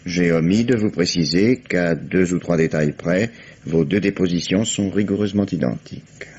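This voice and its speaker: An actor playing a shrewd, calm police commissioner with a husky voice and dry ironic authority, in a 1950s-style French radio drama. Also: male voice, low voice, moderate pace. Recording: dull old lo-fi radio recording.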